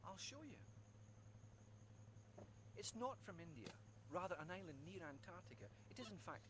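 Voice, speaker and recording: An actor playing a self-assured, scheming male scientist who talks in a smooth, lecturing way. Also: sits high, expressive, moderate pace. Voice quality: smooth, lecturing, theatrical delivery. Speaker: scientist character. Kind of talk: video game cutscene acting. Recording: game audio with clear background noise.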